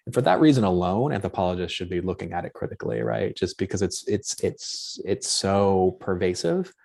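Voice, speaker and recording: A man with a light Boston accent and a fairly low voice speaks on a panel over a video call. He is an anthropologist who thinks aloud and works his ideas out as he goes, in a tentative, searching academic manner. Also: creaky, darkish tone.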